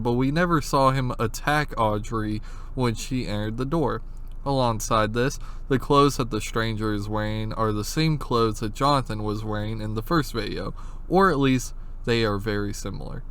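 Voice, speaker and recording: A man's voice: mid-range voice, moderate pace, fairly bright tone, clear, resonant tone. A man giving narrated analysis commentary, explaining in an informal way with casual asides. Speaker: video essayist, horror series analyst.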